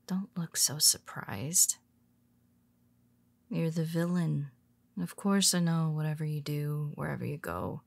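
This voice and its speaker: Tired superhero woman, voiced by a woman, wry and teasing, unhurried delivery, dry close sound. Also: low-pitched, vocal fry, no echo, exaggerated voice. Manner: teasing, soft-spoken, slips into whispers. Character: weary teasing female superhero, nemesis. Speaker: audio roleplay performer. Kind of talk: intimate audio roleplay.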